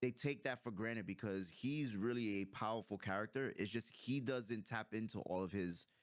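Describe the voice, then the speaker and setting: A man's voice: fairly low pitch, normal speed, dry recording, clean studio sound. A man, probably in his thirties, chatting casually and enthusiastically as he hosts a movie review, using plenty of slang.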